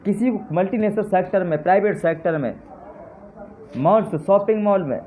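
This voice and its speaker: A man's voice: sits high, moderate pace, mellow. A man giving an impassioned live address to his supporters, with fervent, repetitive appeals that rise to indignant jabs. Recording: tinny phone-line sound with faint noise.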